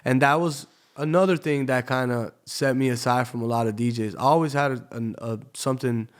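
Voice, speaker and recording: A man's voice: mid-range voice, brisk. A man from Tampa, a DJ, telling his story in a laid-back, casual way as a podcast guest, often saying "you know". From Tampa, Florida.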